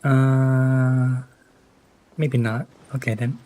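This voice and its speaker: A young man streams a game in a mid-pitched voice. He chats casually and ramblingly, full of slang and self-deprecating asides. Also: little pitch movement, extremely slow, warm tone. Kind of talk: casual live gameplay commentary.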